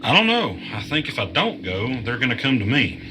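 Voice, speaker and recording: A man's voice: medium pitch, huge pitch swings, a little fast, background noise. A man playing a weary young gamer in an audio drama, telling and living a mystery in a plain-spoken, understated way. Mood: uneasy. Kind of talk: audio drama narration and dialogue.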